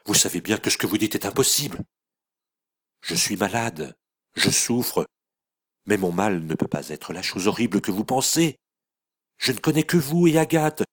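A man's voice: low-pitched, steady tone, unhurried. A man reading an audiobook voices an innocent young girl who pleads in anguish. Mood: desperate.

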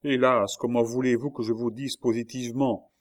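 A man's voice: mid-range voice, level tone, normal speed, voice pushed up, natural voice. A man voicing a frightened, loving young woman in an audiobook, pleading and full of emotion. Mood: tearful pleading. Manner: pleading, sobbing, breathless with emotion.

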